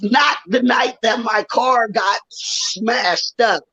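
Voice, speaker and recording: A woman in her forties with a raspy, worn voice, telling stories loudly and with animation in a casual live-stream chat. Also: on the high side, takes it slowly. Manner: loud, animated storytelling. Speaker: guest rapper. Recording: dull lo-fi stream audio.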